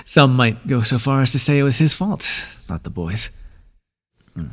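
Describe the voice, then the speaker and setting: An ancient lord of the dead, played by a man, speaks softly and tenderly, his husky, breathy voice sitting at a middle pitch. His measured, reassuring words trail off into a long, lingering reverb. It sounds like an ASMR roleplay. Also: very animated. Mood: gentle, soothing. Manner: tender and reassuring toward the listener. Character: calm, ancient male god of the underworld.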